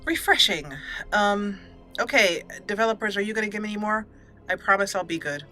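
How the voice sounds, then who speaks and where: A woman's voice: medium pitch, expressive, fairly quick, sharp tone, soft background music. A woman hosting a let's play, giving casual, playful commentary and chatting to the game's characters. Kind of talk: casual live gameplay commentary.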